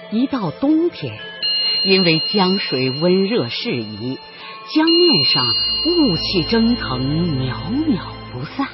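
A woman narrating a radio drama in a lyrical, expressive storytelling style with dramatic phrasing. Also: fairly low pitch. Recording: dull broadcast recording with loud music.

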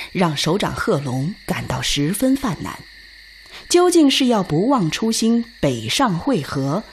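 A woman giving solemn, expressive scripted narration for a Chinese radio drama. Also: lowish voice, a little hiss or hum.

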